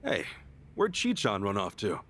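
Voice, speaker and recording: A man's voice: lowish voice, huge pitch swings, a little slow, fairly bright tone, breathy edge, full character voice. A man playing an earnest, boisterous, good-hearted hero in a video game, talking casually and expressively. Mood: friendly, eager. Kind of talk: animated game cutscene acting.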